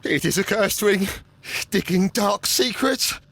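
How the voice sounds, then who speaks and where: An actor playing a nervous, haunted councillor in a video game, stammering heavily in a hesitant, apologetic way. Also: male voice, high-pitched, unhurried, gravelly, a bit bright, dry recording, heavily acted. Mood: fearful, agitated. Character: nervous, haunted, guilt-ridden male councillor and archaeology enthusiast. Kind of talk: scripted video game acting.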